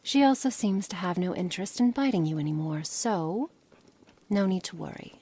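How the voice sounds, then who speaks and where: A woman playing a haughty, coolly controlling witch in an ASMR roleplay, speaking formally and deliberately in a breathy voice that often nears a whisper. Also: lowish voice, lively intonation, warm tone. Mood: calm, gently amused. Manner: slow, formal, deliberate, condescending. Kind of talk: ASMR roleplay, scripted monologue to the listener.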